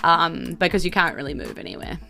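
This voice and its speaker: A woman's voice: sits low, brisk, vocal fry, bright, forceful tone, soft background music. A woman running a tabletop role-playing game as game master, narrating scenes and rulings in an informal, casual way.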